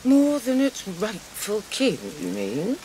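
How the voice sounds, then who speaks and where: An actress playing a proud, weary ageing queen in a historical audio drama, imperious and dryly sharp-tongued. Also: mid-range voice, huge pitch swings, slow, a little hiss or hum, poor audio. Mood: weary, wry. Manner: imperious, dry, wry. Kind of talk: British-made historical radio drama acting.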